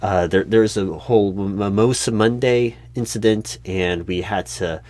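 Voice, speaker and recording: A flustered male recruiting manager, acted out by a man in a comedic audio roleplay. His voice sits on the low side and is recorded close and dry. He stammers and hesitates, staying awkwardly polite and oblivious as he conducts a job interview. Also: measured pace, darkish tone, no echo, close to an everyday voice. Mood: awkward, hesitant.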